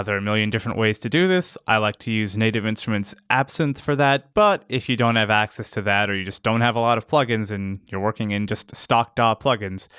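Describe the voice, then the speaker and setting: A man with a smooth, clear and fairly low voice narrates a casual how-to video. The recording is close and very dry. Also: a bit bright, no room sound. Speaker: tutorial host, sound designer.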